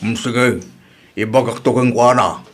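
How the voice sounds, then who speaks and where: A man's voice: mid-range voice, measured pace, close to an everyday voice. A man playing an exasperated village father in an Ilocano comedy radio drama, plain-spoken and reproachful, with an Ilocano accent. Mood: resigned. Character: exasperated village father scolding his grown daughter.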